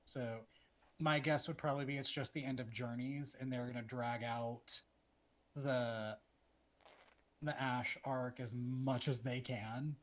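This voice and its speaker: A man's voice: mid-range voice, fairly even intonation, a little slow. A man in his twenties co-hosting a casual podcast, chatty, laughing easily and trailing off with fillers. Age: 20s. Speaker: podcast co-host.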